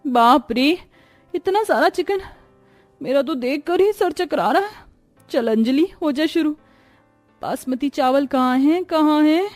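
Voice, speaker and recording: Woman playing a high-pitched, flustered young bride. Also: lively intonation, a little slow, faint music behind. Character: young bride, gentle, anxious new daughter-in-law.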